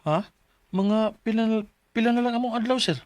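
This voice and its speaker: A man's voice: extremely high pitch, a little fast, heavy background noise. An actor playing a polite, weary young male office employee in a Filipino radio drama, speaking with a Cebuano accent. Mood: dismayed. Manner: polite, deferential. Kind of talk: Filipino Bisaya radio drama, letter narration and acted dialogue.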